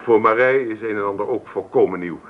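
Man as halting young son, tinny radio sound. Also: on the low side, slight background noise, natural voice. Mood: nervous, determined. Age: young adult. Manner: halting, formal, determined. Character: hesitant but stubborn student son. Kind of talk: radio drama acting. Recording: tinny old radio recording.

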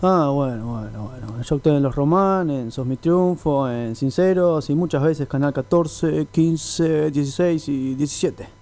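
A man's voice: fairly high pitch, unhurried, hardly any pauses, dark tone. A man playing a vain, breezy television actor with an Argentine accent, casual and slangy, in a radio comedy. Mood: self-satisfied. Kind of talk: comic radio play acting.

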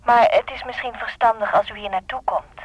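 An actress in a radio play playing a calm, businesslike hospital nurse with a formal, reassuring manner. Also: female voice, on the high side, fairly quick, lightly acted, low-quality recording. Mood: calm, official. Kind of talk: Dutch radio play acting.

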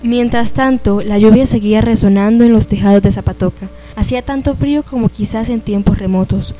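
A woman's voice: on the high side, fairly quick, over music, poor audio. A woman giving scripted narration for a radio drama.

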